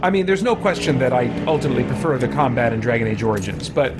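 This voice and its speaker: A man's voice: fast talker, over loud music. A man hosting a gaming livestream, chatting casually and thinking aloud in a rambling way. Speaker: livestream host. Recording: noisy background rumble.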